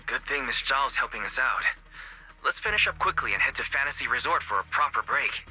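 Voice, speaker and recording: A man's voice: mid-range voice, animated, a little fast, bright, forceful tone, husky, full character voice. An actor playing a casual, attentive young male companion in an anime-style video game. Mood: concerned, easygoing. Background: music.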